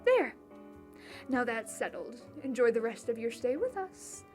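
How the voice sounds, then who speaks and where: A woman's voice: a little high, very animated, darkish tone. Woman acting a haughty, disapproving upper-class mother. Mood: curt, dismissive. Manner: condescending, deliberate. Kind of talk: dramatic monologue. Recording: music bed behind voice.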